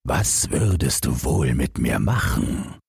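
Storybook giant, played by a man: menacing and gloating, very low, rough and husky, drawn-out lines. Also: very animated, darkish tone, no echo, studio quality, full character voice. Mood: sly, gloating. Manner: slow, drawn-out menacing delivery. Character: huge two-faced male giant, gloating and menacing.

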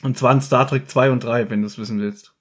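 A man's voice: mid-range voice, fairly even intonation, a little fast, slightly rough. A man hosting a podcast, chatting casually as he recaps an audio drama. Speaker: podcast host.

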